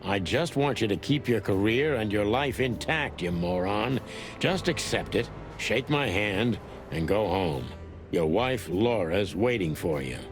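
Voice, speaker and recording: A low, world-weary old rooster gumshoe in a noir detective game. He speaks tersely and broodingly, a gruff loner who puts others first. Music plays behind him. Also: animated, measured pace. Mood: grave, resigned. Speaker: narrator and lead detective. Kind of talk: hardboiled film noir voice acting.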